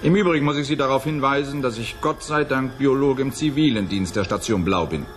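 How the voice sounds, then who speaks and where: An actor playing a cynical, hard-drinking biologist in a theatrical, sardonic radio drama style. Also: male voice, mid-range voice, brisk, faint music behind, lightly acted. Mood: indignant. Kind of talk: 1980s German radio drama acting.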